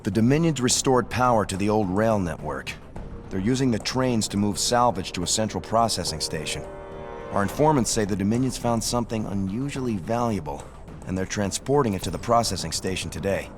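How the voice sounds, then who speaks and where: An actor playing a serious, dutiful young officer giving a measured mission briefing in a video game. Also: male voice, lowish voice, expressive, faint music behind, lightly acted. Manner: measured, formal.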